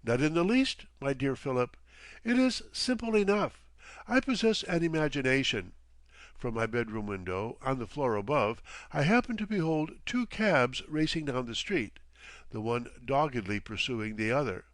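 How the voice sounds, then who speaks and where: A man voicing a genial, theatrical elderly gentleman with a courtly, florid, playfully pompous manner in an audiobook story. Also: mid-range voice, big pitch swings, normal speed, no room sound, lightly acted. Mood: delighted, playful.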